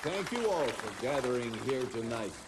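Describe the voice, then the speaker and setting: An actor playing a stern, overbearing institute director in a video game, with a formal, measured and authoritative delivery. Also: male voice, mid-range voice, big pitch swings, crowd noise, background noise, natural voice. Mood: grand, proud. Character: stern, commanding male director of monster-hunting institute, overbearing father. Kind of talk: dramatic video game acting.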